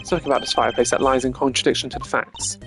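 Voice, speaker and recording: A performer voicing a composed, stern male prosecutor in a video game, speaking in a measured, formal, analytical way. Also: mid-range voice, steady tone, fairly bright tone, faint music behind, close to an everyday voice. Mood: stern, probing. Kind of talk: video game character voice-over.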